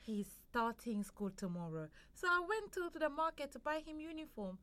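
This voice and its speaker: A woman playing a middle-aged, devout and loving village mother in an audio drama, tender and expressive. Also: high-pitched, moderate pace. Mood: matter-of-fact.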